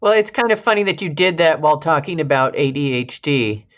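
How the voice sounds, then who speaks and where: A woman's voice: deep voice, animated, fairly quick, muffled recording. A woman co-hosting a podcast, chatting casually with brief, dry remarks. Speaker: podcast co-host.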